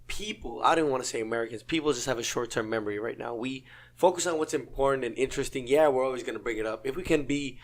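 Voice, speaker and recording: Hyped, argumentative man going hoarse. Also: medium pitch, quick pace. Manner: argumentative, builds to hyped outbursts. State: losing his voice. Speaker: podcast co-host. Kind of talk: casual opinionated debate.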